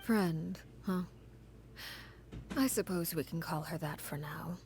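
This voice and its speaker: Cold composed game character, low voice, breathy half-whisper, measured, grave. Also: female voice, background noise. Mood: grave, calm. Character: cold, composed woman recounting a grim past. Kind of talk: game story cutscene dialogue.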